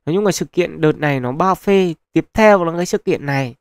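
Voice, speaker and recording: Male gaming host, gravelly mid voice, chatty advice with fillers, dry close mic. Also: a little high, fairly quick, no room sound. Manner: chatty, advising viewers, many fillers. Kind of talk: casual gameplay commentary. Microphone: close mic, voice-over on screen capture.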